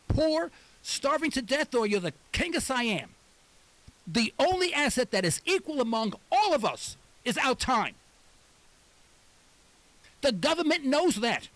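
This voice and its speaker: A man's voice: very high-pitched, a bit bright, dry recording. A man in his late sixties hosting a talk radio show, delivering an emphatic monologue and repeating words for stress. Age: 60s.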